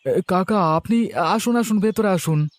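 Man acting a high-voiced meek cartoon villager. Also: a little slow, no echo. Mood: respectful. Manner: polite, pleading. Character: meek, honest village man, troubled and respectful.

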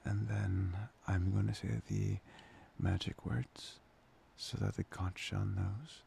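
A man playing a tender, playful boyfriend in an intimate ASMR roleplay, breathy and often slipping into a whisper. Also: on the low side, little pitch movement, slow, a little hiss or hum, exaggerated voice. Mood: gentle, coaxing. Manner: hesitant, intimate, soft-spoken. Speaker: ASMR voice performer.

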